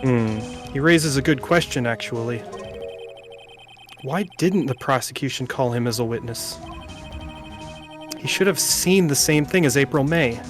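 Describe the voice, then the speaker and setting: A man's voice: mid-range voice, unhurried, pauses often, music in the background, natural voice. A man voicing an earnest young defense lawyer in a video game, polite, plain and a little hesitant. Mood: doubtful. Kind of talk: video game dialogue read aloud by amateur.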